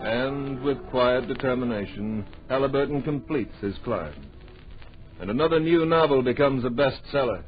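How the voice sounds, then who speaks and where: A man's voice: medium pitch, soft background music, natural voice. Man as a grand old radio narrator, muffled. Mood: measured, suspenseful. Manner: grand, deliberate, dramatic. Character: earnest, dramatic storyteller of adventure. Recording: dull old radio recording.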